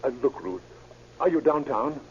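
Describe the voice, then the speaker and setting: An actor playing a reassuring, firm doctor husband in an old radio crime drama, speaking patiently and deliberately. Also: male voice, mid-range voice, lively intonation, close to an everyday voice. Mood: urgent, coaxing. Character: reassuring, firm husband, a doctor, calming his frightened wife. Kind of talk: 1940s-50s American radio crime drama acting. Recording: muffled, noisy old radio recording.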